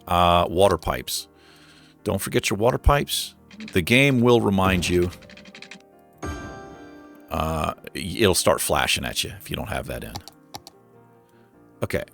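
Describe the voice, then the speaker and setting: Gravelly low-voiced man narrating gameplay. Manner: relaxed, thinking aloud with many fillers. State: losing his voice. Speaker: gaming channel host. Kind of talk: casual gameplay commentary.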